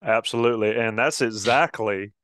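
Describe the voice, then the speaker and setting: A man with a smooth, resonant voice, chatting easily and laughing often as co-host of a college football pregame show. His voice is mid-pitched and sounds close and dry. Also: moderate pace, fairly bright tone, dry recording. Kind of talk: casual promo chat.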